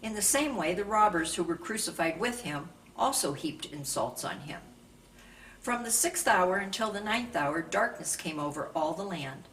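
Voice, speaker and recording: A woman's voice: fairly low pitch, lively intonation, a bit bright. Distant-sounding woman reading scripture aloud. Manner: measured, raises voice for quoted speech. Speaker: scripture reader. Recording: distant microphone with faint background noise.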